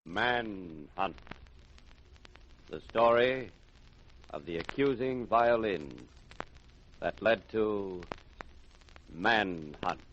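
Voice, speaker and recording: A man announcing an old radio drama in a portentous style, halting for suspense. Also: lowish voice, expressive, a bit bright. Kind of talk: dramatic scripted 1950s-style radio introduction. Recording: dull, faintly noisy vintage radio recording.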